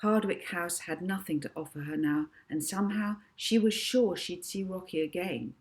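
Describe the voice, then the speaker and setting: A woman's voice: lowish voice, normal speed, darkish tone. A woman narrating a children's storybook expressively, as an author reading her own book.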